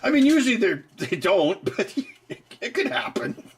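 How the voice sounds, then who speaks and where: A man's voice: high voice, very animated, moderate pace, slight background noise. Jokey man rambling on a live stream. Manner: rambling, jokey, laughs often. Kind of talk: casual rambling chat.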